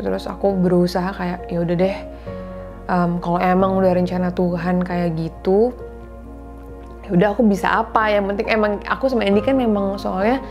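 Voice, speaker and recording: A woman's voice: fairly low pitch, highs cut off. A woman in her thirties talks earnestly and confidingly to her viewers about faith and marriage, in a casual style that mixes in English words. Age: 30s. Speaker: vlogger sharing faith and marriage advice. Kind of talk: casual heartfelt talk to viewers.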